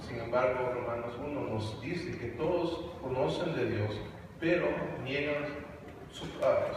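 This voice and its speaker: A young man from Canada speaks to a congregation about faith, stumbling over words with frequent fillers and restarts. His voice carries from a distance in a big reverberant hall. Steady background noise hangs under it. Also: mid-range voice. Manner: hesitant, many fillers and restarts. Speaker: guest speaker on evangelism. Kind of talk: church testimony talk. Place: large church hall. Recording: echoey hall from far off, noisy.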